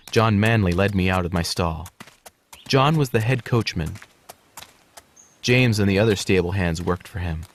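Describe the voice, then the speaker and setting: A man calmly narrating a children's storybook. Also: lowish voice, a little hiss or hum. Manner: calm, clear reading. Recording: studio narration over music.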